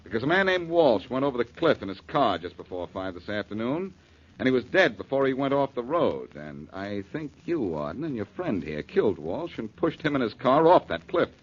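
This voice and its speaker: An actor playing a self-assured, wry amateur detective in 1940s radio drama style, cool under threat. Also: male voice, medium pitch, big pitch swings, moderate pace, slightly rough. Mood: cool, challenging. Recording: muffled noisy 1940s radio recording.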